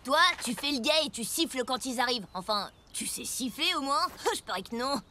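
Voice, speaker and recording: A woman's voice: sits high, expressive, brisk, pushed and bright, a little hiss or hum. A woman voicing a bossy, scornful child gang leader in a French cartoon dub. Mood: commanding, mocking. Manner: commanding, mocking.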